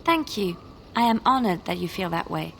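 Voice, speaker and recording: An actress playing a gentle young woman companion in a video game, chatting in an earnest, affectionate and rambling way. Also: lowish voice, animated, moderate pace, creaky, slight background noise. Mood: earnest, tender. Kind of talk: video game dialogue acting.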